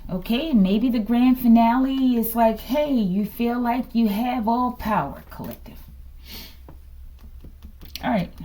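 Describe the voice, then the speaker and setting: Mellow-voiced woman reading tarot cards. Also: a little high, slow, pauses often. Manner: repeats rhetorical questions and verbal tags like "okay". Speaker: tarot reader, host of the reading. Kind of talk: improvised tarot card reading to an online audience.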